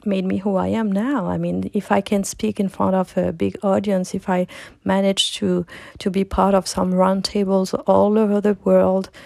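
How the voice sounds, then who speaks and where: A middle-aged woman with a French accent hosts her own podcast, speaking reflectively and often pausing to restart a thought. Her voice is mellow and slightly gravelly. It is recorded close and dry, with no room sound. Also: medium pitch, level tone, no room sound. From France. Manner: reflective, frequent restarts and soft asides. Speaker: podcast host. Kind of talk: personal storytelling monologue.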